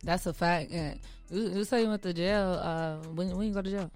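Woman interviewer, a bit low, informal and affirming. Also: steady tone, normal speed, a little mellow, faint music behind. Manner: agreeable, affirming, informal slang. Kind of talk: casual interview chat.

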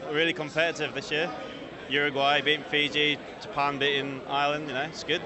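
A man's voice: a little high, flat delivery, a little fast. A man, a rugby fan chatting in a wry, self-deprecating way. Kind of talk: casual chat. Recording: loud crowd outdoors.

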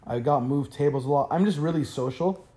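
A man's voice: on the high side, a little fast, mellow. A young man, a college freshman whose first language is Arabic, answering interview questions in a chatty way with lots of "like" fillers. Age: young adult.

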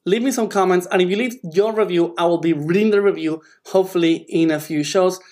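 A man's voice: sits high, a little fast, darkish tone. A man with a South American Spanish accent hosting a podcast, talking effusively and casually with loose phrasing. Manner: effusive, friendly, loose wording. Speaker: podcast host.

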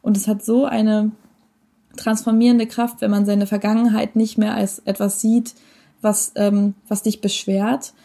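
Young woman, podcast guest: gravelly, soft-toned, casual and slangy. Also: mid-range voice, flat delivery, a little slow. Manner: casual slangy, corrects her own wording mid-sentence.

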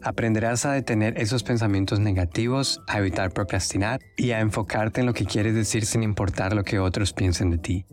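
A man's voice: on the low side, fairly quick, hardly any pauses, a little mellow, soft background music. A man hosting a podcast, speaking Spanish as his native language, talking to listeners in an earnest, encouraging and personal way. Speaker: podcast host. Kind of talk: casual podcast monologue.

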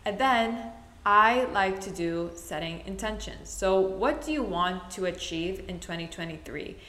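A woman's voice: medium pitch, lively intonation, a little slow, pushed and bright. A woman from the Middle East hosting a casual solo podcast, chatty and rambling, often correcting herself mid-sentence. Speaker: podcast host. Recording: roomy echo.

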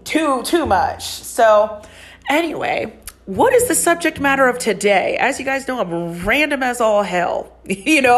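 A woman in her thirties hosting a solo podcast, rambling casually with frequent swearing and laughter, her nose stuffed up and slightly nasal. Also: mid-range voice. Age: 30s. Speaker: podcast host and psychic medium.

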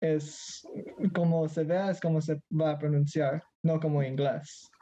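A man's voice: fairly high pitch, little pitch movement, a little slow, dark tone. A teenage boy from Mexico in casual conversation as a guest, hesitant and searching for words, slipping into English now and then. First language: Spanish. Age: teens.

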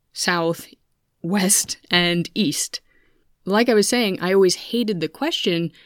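A woman's voice: on the low side, clean tone, no echo, studio quality. A woman in her thirties with an American accent, telling personal stories in a candid, introspective, conversational way. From United States. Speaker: podcast host. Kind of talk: reflective personal storytelling.

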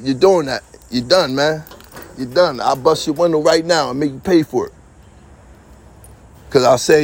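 A man rambling on in a boastful, streetwise monologue, repeating himself, recorded outdoors in his yard on a phone. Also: on the high side.

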